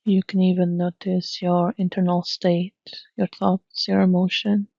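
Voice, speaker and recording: Woman doing a guided meditation, really slow n even, gentle instructions w pauses, bit muffled lo-fi sound. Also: fairly low pitch, vocal fry, dry recording.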